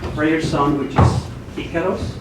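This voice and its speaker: A man's voice: a little high, fairly even intonation, a little slow. An older man from Ecuador, addressing an audience as an elder leading a ceremony. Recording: very echoey room, distant mic, noisy.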